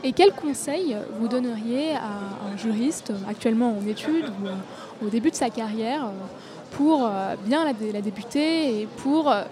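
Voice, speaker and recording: A woman with a fairly high voice interviews a guest at a busy trade fair. She asks long, winding questions, full of small hesitations. Crowd chatter fills the background. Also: animated, a little slow. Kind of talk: event interview. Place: busy trade fair, outdoors or large hall. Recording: crowd noise behind.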